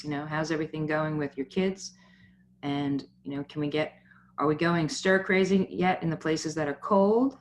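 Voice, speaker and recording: A middle-aged woman with a low-pitched voice hosts an informal livestream talk. She speaks in a rambling way, often breaking off and circling back to another point. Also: a little hiss or hum. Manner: rambling, digressing, frequently jumping between points. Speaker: founder and host of a business network talk.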